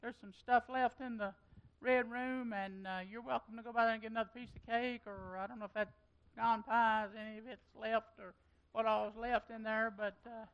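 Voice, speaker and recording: A woman's voice: on the high side, little pitch movement, normal speed, smooth, lo-fi sound. A woman giving chatty, folksy announcements as pastor at a church meeting. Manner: chatty and folksy, with loose trailing phrases.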